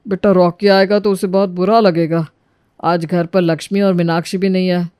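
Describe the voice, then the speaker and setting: A woman voicing a meek, pleading elderly father-in-law in a moral story, gentle and placating. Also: fairly low pitch, flat delivery, normal speed, smooth, no echo, clean studio sound, lightly acted. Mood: gentle, resigned.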